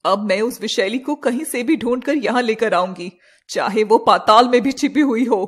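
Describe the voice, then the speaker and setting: A woman voicing a fierce, devoted serpent woman, an elder sister, with dramatic, commanding delivery in an animated fairy tale. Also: on the high side, normal speed, slightly rough. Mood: determined.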